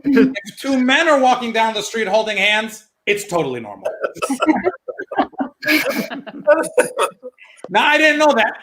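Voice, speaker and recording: High-voiced man telling a comic story. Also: big pitch swings, bright, forceful tone, low-quality recording. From United States. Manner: storytelling, acts out his mom's and friend's lines in quick back-and-forth. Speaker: stand-up comedian. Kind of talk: stand-up comedy set over a live stream.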